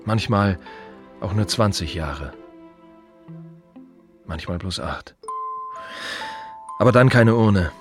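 An actor playing an earnest, proud and reflective gravedigger in a radio play monologue, speaking in measured, short clipped phrases with pauses. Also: male voice, fairly low pitch, expressive, over music. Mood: solemn, deliberate.

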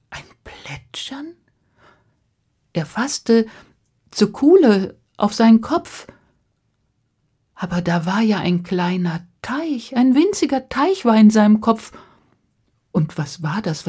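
A woman storyteller freely narrating a fairy tale, with dramatic pauses, repetition and hushed drops for suspense. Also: lowish voice, husky.

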